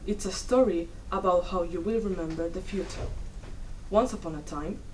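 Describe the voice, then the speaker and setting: A young woman performs a dramatic story reading in a fairly low voice. She goes deliberately, phrase by phrase, like a stage storyteller. The mic is some way off, and faint noise sits behind her. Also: darkish tone. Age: young adult. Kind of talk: dramatic storytelling read from a script. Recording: distant mic, noisy room.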